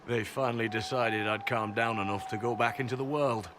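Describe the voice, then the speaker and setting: An actor playing an earnest, composed secret agent in a fantasy video game, measured and direct. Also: male voice, on the low side, steady tone, fairly bright tone, a little hiss or hum, natural voice. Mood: polite.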